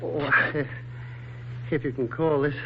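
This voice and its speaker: An actor playing a groggy, hungover, flirtatious wisecracker with a hesitant, pleading manner in 1940s radio style. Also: male voice, on the high side, fairly even intonation, slow pace, vocal fry. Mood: bemused. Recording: tinny, noisy 1940s radio recording.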